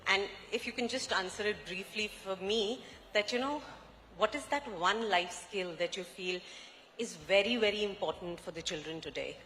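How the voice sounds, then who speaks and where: A woman moderating a summit panel, brisk and encouraging as she poses questions. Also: medium pitch, sharp tone. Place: large conference hall. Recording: reverberant hall with faint room noise.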